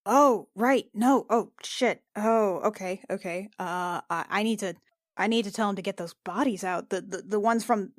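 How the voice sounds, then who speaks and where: Woman as anxious princess healer for a tabletop game: halting, repetitive muttering, mid pitch, dry recording. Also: dry recording, lightly acted. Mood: flustered, alarmed. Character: anxious, flustered princess healer counting days.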